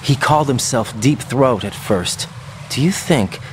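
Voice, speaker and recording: An actor playing an earnest, inquisitive young special operations soldier in a video game. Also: male voice, medium pitch, animated, unhurried, slight background noise, close to an everyday voice. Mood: suspicious. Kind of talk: dramatic game voice acting.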